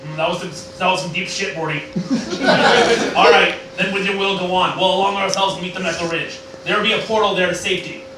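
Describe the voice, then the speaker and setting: A man's voice: high-pitched, steady tone, fast, natural voice. A man playing a bitter, volatile old scientist grandfather, theatrical and stammering, in a comic Shakespearean parody. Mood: subdued. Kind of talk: Shakespearean parody stage acting. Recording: very echoey room, distant mic, noisy.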